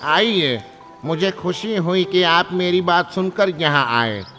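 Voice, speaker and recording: A man voicing a proud, foolish old heron in a children's animated story, with a theatrical, drawn-out delivery. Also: high voice, heavily acted. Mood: proud, welcoming. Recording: long reverb, music behind.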